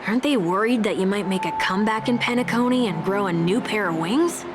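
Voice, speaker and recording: An actress playing a blunt, teasing young travelling companion in a video game, with a casual, sarcastic way of talking. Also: female voice, mid-range voice, a little fast, noisy background, lightly acted. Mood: curious, teasing. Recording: game music behind the voice.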